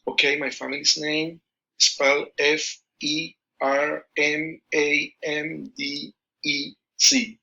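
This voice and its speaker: A man's voice: fairly high pitch, little pitch movement, slow pace, vocal fry, bright, forceful tone, muffled recording. A young man from Colombia with a Colombian Spanish accent, a Spanish native speaker, answering interview questions in English and restarting his answers over and over with many fillers.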